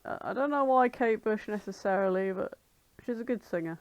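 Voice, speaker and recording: A woman's voice: mid-range voice, brisk, no echo, dull audio. Woman chatting casually, dry close sound. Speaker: podcast co-host. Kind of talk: casual banter among friends.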